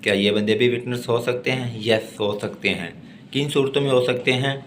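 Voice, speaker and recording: A man with a Pakistani accent teaching law, reading legal text aloud deliberately and explaining it as a lecturer. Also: medium pitch, a little hiss or hum.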